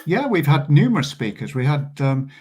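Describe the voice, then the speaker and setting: A man's voice: fairly high pitch, big pitch swings, moderate pace, darkish tone, slightly rough. A man being interviewed as a guest, a celebrant speaking earnestly and hesitantly, with many ums.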